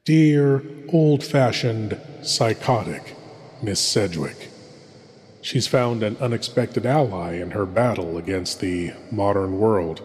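A man's voice: lowish voice, slow pace, darkish tone. A man playing a sinister, theatrical horror host who relishes the macabre with ominous, dramatic delivery. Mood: ominous, relishing. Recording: long cavernous reverb, music behind.

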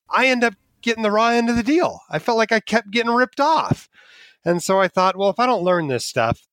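A man's voice: on the high side, fairly bright tone, dry recording. A man from Alaska, a physician and blogger, telling his story candidly as a podcast guest, chuckling at himself now and then. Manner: candid, self-deprecating, chuckles while talking. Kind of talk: casual interview storytelling.

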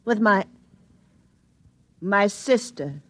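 A woman's voice: medium pitch, lively intonation, extremely slow, fairly bright tone, dry recording, exaggerated voice. A woman playing a wary, streetwise young bar girl in an old-time radio drama, guarded and slangy. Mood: nervous.

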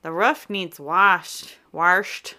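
Woman, very low voice, bright tone, animated, podcast co-host. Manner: animated, reacts with gasps and quick asides. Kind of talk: casual chat.

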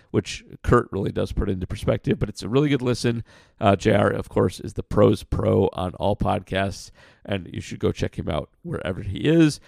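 A man hosting a casual podcast, answering listener questions in a blunt, frank way with lots of fillers; his voice sounds rough like sandpaper. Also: lowish voice, darkish tone, dry recording, studio quality. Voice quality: gravelly, like sandpaper. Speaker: podcast host.

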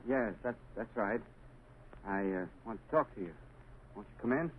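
A man's voice: fairly low pitch, lively intonation, moderate pace, breathy edge. An actor playing a meek, guilt-ridden hired man in a 1930s radio drama, hesitant and stammering. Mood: nervous politeness. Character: nervous, guilt-ridden male hireling, meek family man. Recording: tinny, narrow 1930s radio recording.

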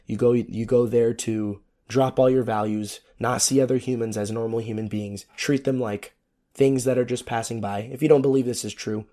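A hoarse man who is losing his voice, hosting a casual solo podcast with a sarcastic, rambling manner and free swearing. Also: medium pitch, mellow. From United States. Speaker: podcast host.

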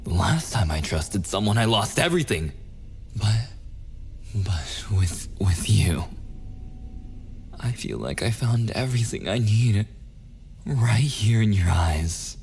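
An actor playing a possessive, obsessive and menacing young boyfriend in an intimate ASMR audio roleplay, slipping into breathy whispers. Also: male voice, on the low side, lively intonation, slow pace, pauses often, a little mellow, a little hiss or hum, exaggerated voice. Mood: wounded devotion.

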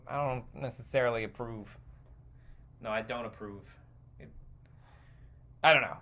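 A man hosting a podcast, giving a casual, rambling opinion rant with halting, stop-and-start phrasing and informal swearing. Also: on the high side, fairly bright tone. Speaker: podcast host.

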